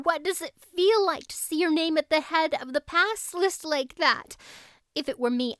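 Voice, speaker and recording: A woman voicing an excitable, gushing teenage girl, a best friend in a storybook reading. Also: sits high, dry recording, clean studio sound, voice pushed up. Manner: gushing, exclamatory.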